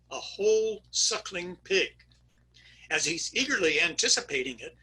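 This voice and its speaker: A man's voice: high voice, bright, forceful tone, breathy edge, low-quality recording. An older man giving a performed reading of jokes and stories on a video call. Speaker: readers theater performer.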